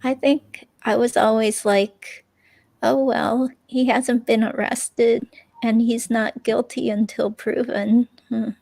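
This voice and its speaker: A woman acts out a wistful young woman being interviewed by police about her ex. Her voice is fairly high with a gravelly fry, and she rambles hesitantly, letting sentences trail away. It is a close, dry dramatic reading. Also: little pitch movement, takes it slowly, darkish tone, no echo, exaggerated voice. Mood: forlorn, wistful. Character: forlorn young woman, murder suspect's ex-girlfriend, in police interview.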